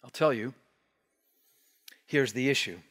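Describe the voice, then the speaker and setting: A man in his forties preaching a sermon from a pulpit, animated and emphatic, dropping to near-whispers on key words. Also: medium pitch, a little slow, husky. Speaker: pastor. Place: church stage behind a wooden pulpit.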